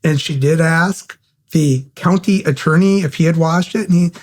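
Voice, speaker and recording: Man from Montana, interview guest, somewhat high pitch, emphatic, repeats himself. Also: moderate pace, a little mellow. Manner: emphatic, repeats key points. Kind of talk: conversational account.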